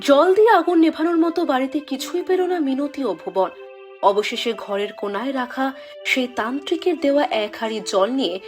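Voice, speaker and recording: High, lilting woman storyteller. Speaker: narrator. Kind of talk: scripted storybook narration.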